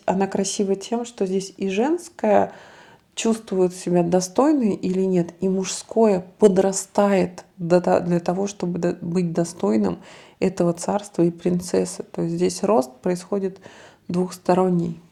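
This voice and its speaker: A woman co-hosting a relaxed discussion, talking in a reflective, unhurried way. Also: medium pitch, creaky. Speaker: co-host discussing a fairy tale. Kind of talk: casual analytical discussion.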